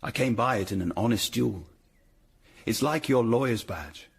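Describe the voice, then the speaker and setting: An actor playing a tough, proud Tudor hired ruffian in a radio drama, with a rough, husky voice and blunt, clipped delivery. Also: male voice, medium pitch, lively intonation, normal speed, exaggerated voice. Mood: proud, challenging. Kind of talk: period radio drama acting.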